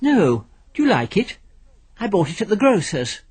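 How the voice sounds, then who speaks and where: A man's voice: high voice, big pitch swings, normal speed, darkish tone, slightly rough, voice pushed up, heavily acted. A man voicing a cheerful, mild middle-aged English housewife in an audiobook, with a light manner. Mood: pleased.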